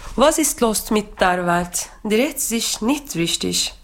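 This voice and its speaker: A woman from Turkey with a Turkish accent, a teacher speaking careful, halting non-native German as she talks and reads poetry. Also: medium pitch, expressive. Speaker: guest teacher reading poetry. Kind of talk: personal talk and poetry reading.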